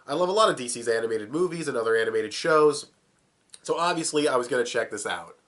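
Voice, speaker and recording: A man's voice: mid-range voice, expressive, fast talker, a bit bright. A young man with a cold, sounding plugged up, casually reviewing a cartoon, swearing and chuckling as he talks. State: sick, plugged up. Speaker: video reviewer.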